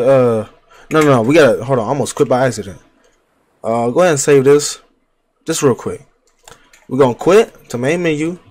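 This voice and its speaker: A young man livestreaming a game on a headset, chatting loosely in slang and trash-talking enemies, his voice deeper while getting over a cold. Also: a little mellow. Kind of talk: casual gameplay commentary. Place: home gaming setup, indoors.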